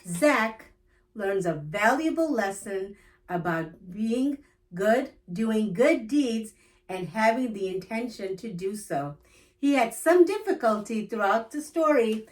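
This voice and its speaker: A woman's voice: mid-range voice, rough recording. A woman hosting a children's storytime, reading aloud as a storyteller.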